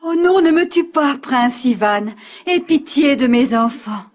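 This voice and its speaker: A woman's voice: high voice, animated, unhurried. A woman voicing tender, anxious animal mothers in a fairy-tale cartoon, imploring in formal pleas. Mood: pleading.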